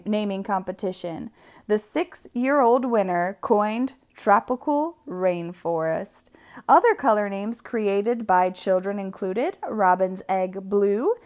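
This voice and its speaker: Lady doing a kids book read aloud, really animated up and down, slow, stretches out the important words. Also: mid-range voice. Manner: deliberate, drawn-out emphasis on key words. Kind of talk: expressive picture-book reading for children.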